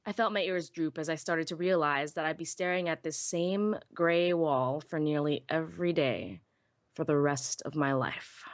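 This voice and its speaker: A woman playing a shy, self-deprecating young pony technician who narrates her own story in an expressive, wistful, wry way. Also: low-pitched, moderate pace, dry recording, clean studio sound. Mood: weary, wistful. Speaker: first-person narrator. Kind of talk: dramatic live audiobook reading.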